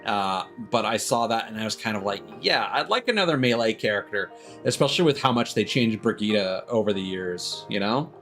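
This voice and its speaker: A man's voice: fairly low pitch, expressive, brisk, a bit bright, soft background music. A man doing casual, rambling livestream chat as a game streamer, with chuckles here and there.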